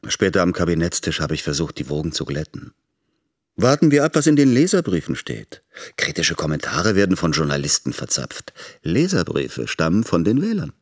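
Calculating politician, deep and animated. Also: male voice, moderate pace, slightly rough, heavily acted. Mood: self-justifying. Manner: rhetorical, calculating. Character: self-serving male politician justifying himself. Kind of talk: radio drama monologue.

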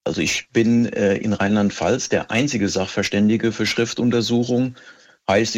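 A middle-aged man answering interview questions as a police expert, explaining in a methodical, precise and measured way. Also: on the low side, vocal fry, slightly rough.

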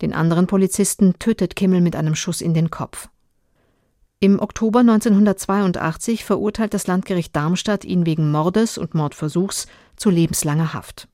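A woman's voice: on the low side, normal speed, mellow, no room sound, clean studio sound. A woman reading a scripted case summary in a sober, factual documentary style.

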